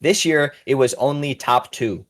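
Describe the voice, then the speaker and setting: A man's voice: mid-range voice, a little slow. A man hosting a podcast, giving casual, informal commentary and sounding enthusiastic about competitors. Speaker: podcast host.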